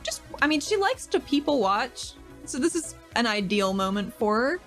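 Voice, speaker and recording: A woman playing a tabletop game, describing her character's moves in quick, laughing banter. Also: on the high side, big pitch swings, a bit bright, faint music behind. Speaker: player.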